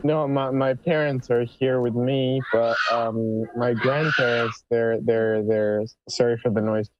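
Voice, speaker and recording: A man from Ukraine answering questions as a guest, halting and repeating words. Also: medium pitch, steady tone, dull audio, very noisy.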